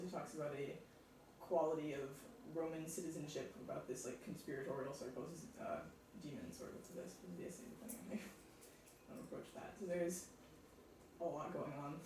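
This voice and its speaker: A man's voice: a little high, steady tone, a little fast, background noise. A man discussing literature at a seminar, thinking aloud with many restarts and false starts. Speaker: literature researcher. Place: large echoey room. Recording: roomy, from far off.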